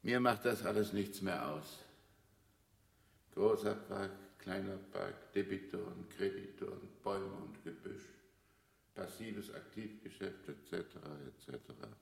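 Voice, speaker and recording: A man playing an old, resigned bank director in an absurdist radio play, speaking tersely and formally in a gravelly voice. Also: sits low, a little slow, exaggerated voice. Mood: resigned, dry. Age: older.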